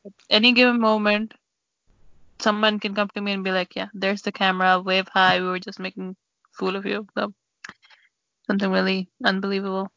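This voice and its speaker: A woman's voice: medium pitch, little pitch movement, a little fast, vocal fry, long pauses, sharp tone, dry recording. A young woman chatting casually on her sister's podcast, rambling and a little self-conscious. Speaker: podcast guest. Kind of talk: casual sibling podcast chat. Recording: dry, dull lo-fi audio.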